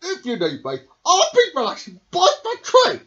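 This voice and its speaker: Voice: sits high, wildly swooping pitch, a bit bright, heavily acted. A storybook reader voicing a grumpy, weary bear who wants to be left alone, exasperated and drawling. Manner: exasperated, drawn-out, groaning and sighing. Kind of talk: picture book read-aloud character voice. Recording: dull lo-fi home recording.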